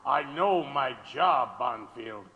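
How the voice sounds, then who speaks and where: A man's voice: mid-range voice, animated, slow pace, narrow, tinny audio. A man playing a brusque, exasperated big-city mayor in an audio drama, curt, sarcastic and commanding. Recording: long echoing hall reverb.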